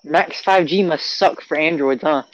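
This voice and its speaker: Higher-voiced young man, lo-fi call. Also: unhurried, slight background noise. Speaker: podcast co-host. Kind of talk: casual teasing banter with friends. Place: remote voice call. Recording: dull lo-fi online call audio.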